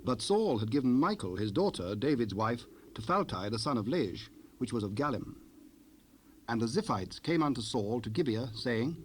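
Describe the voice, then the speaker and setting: A male narrator with a medium-pitched voice reads scripture as a formal story. His delivery is measured and scripted. It comes from a cassette rip with faint noise. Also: big pitch swings. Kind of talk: scripted scripture narration, King James Bible.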